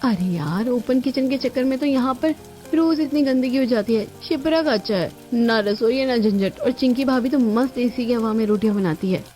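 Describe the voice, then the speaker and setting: A woman's voice: fairly high pitch, normal speed, dark tone, close to an everyday voice. A woman voicing an envious, put-upon younger sister-in-law who complains pointedly in a cartoon family drama. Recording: band-limited audio with music behind.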